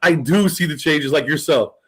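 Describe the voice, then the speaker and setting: A middle-aged man hosting a casual podcast chat, talking in an animated, loose way and swearing freely. His voice sits a bit high for a man. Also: moderate pace, husky. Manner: animated, swears, laughs often. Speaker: podcast host.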